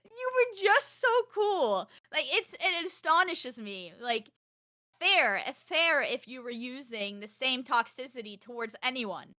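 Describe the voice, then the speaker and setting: A young woman with a high, loud voice chatting casually as a podcast guest about her gaming life, outspoken and emphatic, swearing freely. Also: very animated, a little slow. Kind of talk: casual chat, animated anecdotes.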